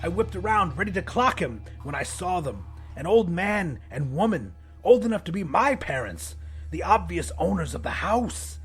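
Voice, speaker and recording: High-voiced man narrating horror dramatically. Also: sing-song, normal speed, breathy edge, faint music behind. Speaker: audiobook narrator.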